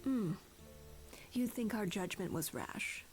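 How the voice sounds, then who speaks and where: Video game goddess: stern leader, weary and solemn, deliberate formal delivery, mid pitch. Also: female voice, expressive, soft background music. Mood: grave, restrained.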